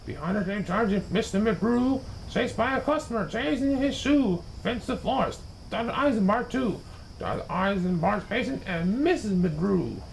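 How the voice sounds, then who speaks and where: Male storybook narrator, high-pitched, dramatic and swooping, reading children's rhyme with faint noise behind. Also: moderate pace, creaky, rough recording. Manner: expressive, dramatic. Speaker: storybook reader.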